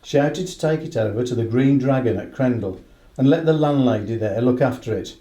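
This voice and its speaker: Guy playing earnest respectful stableman in a radio play, dark soft-edged voice, echoey. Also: mid-range voice, moderate pace, lightly acted. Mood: earnest, uneasy. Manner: plain-spoken, respectful, matter-of-fact. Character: earnest, worried horse trainer reporting to a detective. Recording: roomy, echoing radio play recording.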